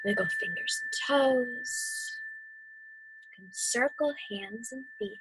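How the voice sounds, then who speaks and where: A woman with a soft, breathy voice gently guiding children through a mindfulness meditation, soothing and deliberate. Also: on the high side, very noisy. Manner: soothing, gentle, deliberate, often dropping to a near-whisper. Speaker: mindfulness instructor and host of a weekly video series.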